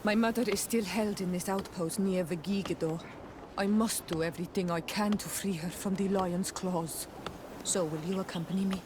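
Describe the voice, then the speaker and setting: A woman's voice: medium pitch, steady tone, normal speed, background noise, natural voice. A woman playing a proud, earnest young native princess in a video game cutscene. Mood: determined.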